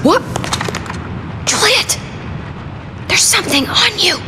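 A woman's voice: extremely high pitch, lively intonation, takes it slowly, noisy background, exaggerated voice. A woman playing a brash, teasing young zombie hunter, a frank older sister in a video game cutscene. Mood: alarmed.

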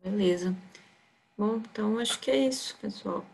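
A woman's voice: mid-range voice, normal speed, vocal fry, a little mellow, husky, poor audio. A young woman moderating a seminar from an office, politely closing it on a video call. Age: young adult. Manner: polite, formal. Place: office room. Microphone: webcam on video call.

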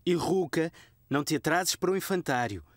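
A woman's voice: low-pitched, animated, fairly quick, dry recording, studio quality. A woman with a European Portuguese accent voicing an easygoing, affectionate young father in a children's cartoon.

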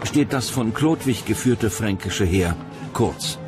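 A man's voice: fairly low pitch, lively intonation, slow pace. A man reading scripted narration for a history documentary. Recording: music bed behind narration.

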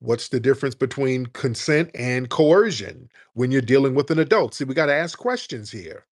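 A man's voice: medium pitch, animated, moderate pace. A man hosting a call-in commentary show, combative and opinionated, firing rhetorical questions and acting out quoted voices. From United States. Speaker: livestream show host.

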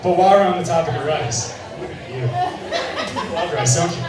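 A young man doing a stand-up set on a bar stage, with a dry, deadpan delivery, filmed on a handheld mic from out in the crowd. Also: on the high side, moderate pace. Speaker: stand-up comedian. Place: bar stage with a live audience. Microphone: handheld mic, filmed from far in the crowd. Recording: echoey bar sound from far off, crowd laughter.

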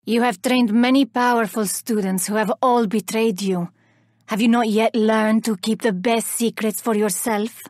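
A woman's voice: fairly high pitch, fairly even intonation, moderate pace, a little mellow, dry recording, studio quality, lightly acted. An actress voicing a menacing, scornful female reaper herald for a video game, haughty, theatrical and bent on vengeance. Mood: cold scorn. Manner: cold, haughty, theatrical delivery. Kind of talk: video game character voice lines.

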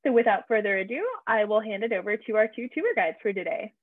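A woman's voice: medium pitch, fast, dry recording. A young woman hosting a virtual campus tour, introducing the session in an upbeat, emphatic way over a video call. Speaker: tour host. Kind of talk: scripted event introduction. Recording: tinny phone-like video call audio.

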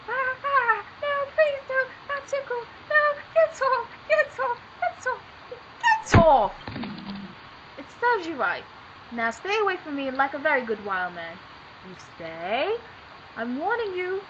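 Woman playing proper young cartoon lady, flustered, breathless, stammering, very high lively voice, slow pace. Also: background noise, heavily acted. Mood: indignant. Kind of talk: cartoon fandub acting. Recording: muffled amateur microphone over loud music.

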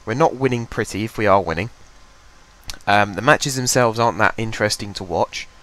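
A man's voice: lowish voice, brisk, fairly bright tone. Young guy w a cold, rambly gaming commentary at his desk, congested and casual. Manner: chatty, rambling, thinks aloud with fillers. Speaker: gaming channel host. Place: home desk.

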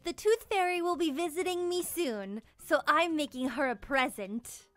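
A young woman reading a children's picture book aloud in an expressive, playful way, as a storyteller for small kids. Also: high voice, moderate pace. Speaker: storyteller and children's channel host. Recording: music behind the voice. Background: music.

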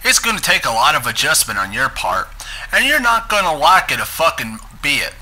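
A man's voice: fairly high pitch, sing-song, normal speed, pushed and bright, low-quality recording. A man giving a dramatic reading of a script as its narrator. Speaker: narrator reading a transcript aloud.

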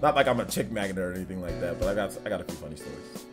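A man's voice: low-pitched, animated, rapid-fire, over music. A man in his thirties streaming from his home gaming room, chatting loosely with viewers, laughing often and repeating himself. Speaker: streamer answering chat questions. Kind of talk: casual live-stream chat.

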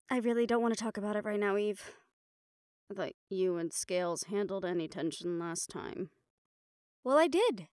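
A woman's voice: on the high side, no echo, studio quality. A woman performing an audio roleplay, talking in casual, profane, wry banter.

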